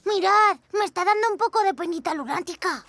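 A woman with a Castilian Spanish accent voicing a kind, sensible young boy lizard superhero in a cartoon, gentle and conciliatory. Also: very high-pitched, a little fast, very noisy. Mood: sympathetic. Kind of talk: animated children's cartoon dubbing. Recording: music under the dialogue.

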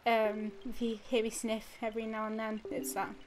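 Young woman, stuffed-up cold voice, rambling let's play commentary, slightly high, steady background noise. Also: normal speed. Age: young adult. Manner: rambling, many fillers and restarts. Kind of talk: casual gameplay commentary.

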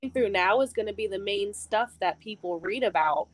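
A woman in her early twenties talks casually on a podcast, dropping filler words as she goes. Her pitch is moderate and the recording is dry and close. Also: fairly quick, no echo. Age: 20s. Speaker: podcast co-host. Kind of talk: casual chat.

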